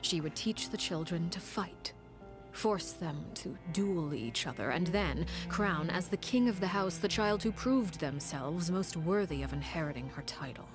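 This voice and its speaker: A cold, composed woman tells a dark tale in a soft, breathy half-whisper. Her voice sits low and stays measured and grave, as in a game cutscene. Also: animated, over music. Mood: grave, calm. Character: cold, composed woman recounting a grim past. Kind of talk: game story cutscene dialogue.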